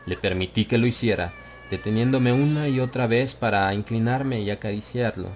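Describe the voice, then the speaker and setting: A man reading a horror story aloud as an audiobook narrator, in a slow, brooding, confessional delivery that swells into anguished outcries. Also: mid-range voice, a little hiss or hum.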